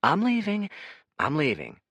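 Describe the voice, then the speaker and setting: A man's voice: mid-range voice, very animated, normal speed, bright, forceful tone, no room sound, pitched above the performer's own voice. A man voicing a flippant, placating young man who talks his way out of trouble with wry charm. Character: glib, flippant young man, quick-talking.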